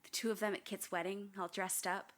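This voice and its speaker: A woman's voice: mid-range voice, flat delivery, a little fast, a bit bright, lightly acted. A young woman playing a candid, wry daughter haunted by family tragedy, narrating a radio drama. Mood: reflective, wry. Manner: reflective, wry. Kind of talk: first-person scripted narration.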